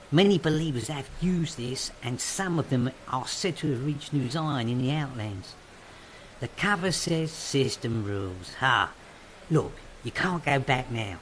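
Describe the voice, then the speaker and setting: A man's voice: mid-range voice, a bit bright, a little hiss or hum. An actor playing a loyal male friend who urgently warns a couple to flee, in an amateur radio drama. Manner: urgent, hurried warnings.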